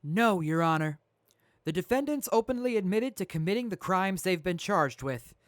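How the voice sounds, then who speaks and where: A woman's voice: on the low side, normal speed, dry recording, clean studio sound, close to an everyday voice. A woman voicing a jury foreperson who reads the verdicts formally. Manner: flat, formal reading of verdicts. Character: female jury foreperson, businesslike.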